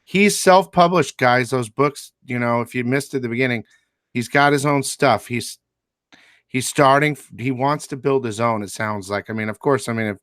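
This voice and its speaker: A man hosting a casual interview, asking questions in a relaxed, joking way. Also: mid-range voice, moderate pace, no echo, clean studio sound. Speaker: interview host.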